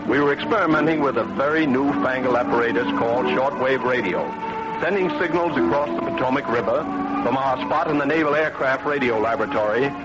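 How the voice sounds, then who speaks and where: An actor playing a curious, earnest Navy radio scientist who also narrates, musing and a little absent-minded, in a 1940s radio drama. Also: male voice, mid-range voice, a little fast, highs cut off, natural voice. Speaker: narrator and lead character. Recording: old radio broadcast with loud music underneath.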